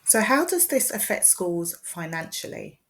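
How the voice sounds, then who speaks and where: A woman, a speech and language therapist, giving a measured, scripted presentation that explains as it goes. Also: medium pitch, sing-song, a bit bright.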